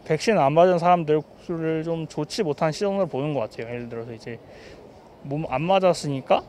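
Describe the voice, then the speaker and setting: A young man answering a street interview on a city sidewalk, speaking in a measured, thoughtful way into a handheld mic. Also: fairly high pitch, a little mellow, a little hiss or hum. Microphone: handheld mic held by interviewer.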